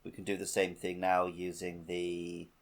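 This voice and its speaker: Low-voiced man chatting on a podcast. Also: completely flat delivery, unhurried, gravelly. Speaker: podcast host. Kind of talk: casual game discussion podcast.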